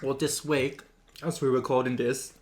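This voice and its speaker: A young man with a hoarse, worn voice, co-hosting a casual chat and rambling with lots of ums and laughs. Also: medium pitch, flat delivery, moderate pace. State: hoarse, losing his voice. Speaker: podcast co-host.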